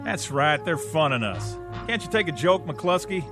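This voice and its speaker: An actor playing a teasing, wisecracking National Guard corporal. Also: male voice, fairly high pitch, expressive, moderate pace, music in the background, natural voice.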